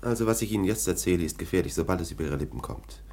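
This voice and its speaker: A scornful police official with a very low voice, sneering and mocking as he bullies the boy. His voice is husky and swings widely in pitch. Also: expressive, racing, dark tone. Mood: confiding. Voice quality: sneering, dry mockery. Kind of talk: 1960s German radio play acting.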